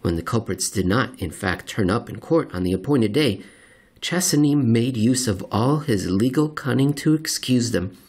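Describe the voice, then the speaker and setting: A man reading a scripted essay aloud as narration, measured and deliberate, with theatrical emphasis. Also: mid-range voice, expressive, a little mellow, breathy edge. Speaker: podcast host reading aloud.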